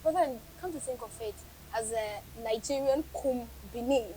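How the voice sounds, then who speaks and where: A woman's voice: a little high, normal speed, a crowd in the background, low-quality recording. A woman hosting a TV interview, teasing and playful, mixing pidgin into her questions. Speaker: TV show host.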